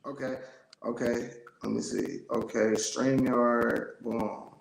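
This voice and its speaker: A man's voice: medium pitch, fairly even intonation, slow, gravelly. An American man from Ohio talking casually as an interview guest, telling his stories in a rambling, emphatic way. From Youngstown, Ohio, United States. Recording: roomy, echoey sound.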